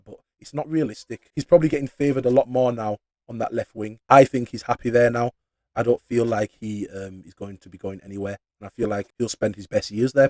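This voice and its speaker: Animated Yorkshire man ranting about football. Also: mid-range voice, dry recording. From Leeds, northern England. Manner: animated, slangy, mocking. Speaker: football channel host. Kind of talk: casual opinionated football rant.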